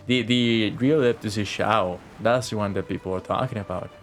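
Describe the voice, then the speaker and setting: A man's voice: lowish voice, brisk, a little hiss or hum. A man live streaming a game, chatting informally, swearing and thinking aloud as he plays. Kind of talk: casual live playthrough commentary.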